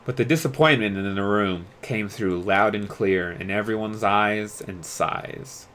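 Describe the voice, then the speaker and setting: A man reading a story aloud as a streamer, in an expressive storybook narration style. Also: fairly low pitch, unhurried, slight background noise.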